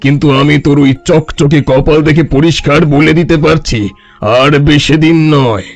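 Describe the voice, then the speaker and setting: Cartoon holy man, played by a man: gravelly, slightly high, bossy and scolding, grand prophecies, music underneath. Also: fairly even intonation, moderate pace. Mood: scolding, prophetic. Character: bossy, boastful wandering holy man demanding food and shelter. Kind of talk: Bengali cartoon story voice acting.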